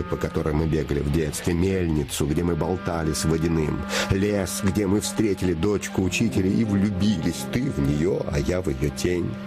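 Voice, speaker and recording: An actor playing a man's scheming living shadow that poses as a devoted friend, insinuating, solemn and emphatic, in a theatrical fairy-tale play. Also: a bass voice. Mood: grave warning. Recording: music behind the voice.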